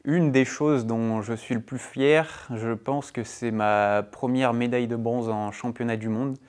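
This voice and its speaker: A man's voice: medium pitch, steady tone, unhurried, a little mellow. A man in his twenties, a para-judo athlete, answering interview questions in a hesitant way with many fillers. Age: 20s.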